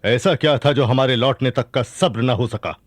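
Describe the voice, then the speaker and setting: An actor playing a commanding Sultan and doting father in a historical radio drama, speaking in a regal, measured, declamatory way. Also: medium pitch, dry recording, close to an everyday voice. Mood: stern.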